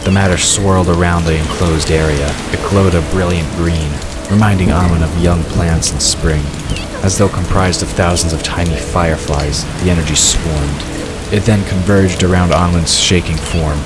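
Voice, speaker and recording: A man's voice: low voice, steady tone, moderate pace, over loud music. A man narrating a scripted fantasy story as the narrator of an audio drama.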